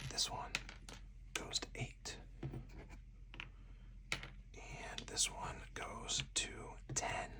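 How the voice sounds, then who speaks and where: A person whispering softly and breathily in ASMR style, narrating a solo card game move by move as the host. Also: mid-range voice. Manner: hushed, narrating each move aloud step by step.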